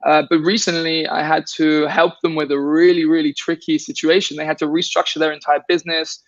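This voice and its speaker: A man's voice: on the high side, level tone, fairly quick, dry recording, dull audio. A man guest in a casual conversation, rambling with many restarts and fillers.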